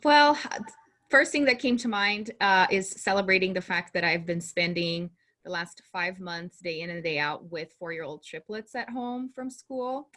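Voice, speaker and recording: A woman in her thirties from Brazil, with Portuguese as her first language, speaks in a fairly low voice. She is a guest talking openly about her life and laughs easily between thoughts. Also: normal speed, sharp tone. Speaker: empowerment coach, guest. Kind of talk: interview conversation.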